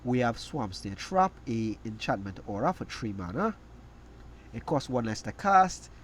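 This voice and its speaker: A man with a cold, hoarse and raspy, casually reading out and reacting to trading card text. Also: mid-range voice, big pitch swings, slight background noise. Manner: reads card text aloud, reacts with quick asides. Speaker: card game reviewer. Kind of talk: casual card review commentary.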